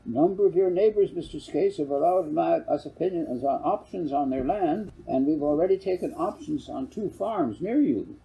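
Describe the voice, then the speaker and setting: A man's voice: a little high, lively intonation, moderate pace, creaky, hardly any pauses, warm tone, pitched above the performer's own voice, low-quality recording. A man voicing a slick, ingratiating land salesman who blusters and stammers in a storybook reading. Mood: smooth pitching. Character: slick, flustered salesman pushing a land scheme.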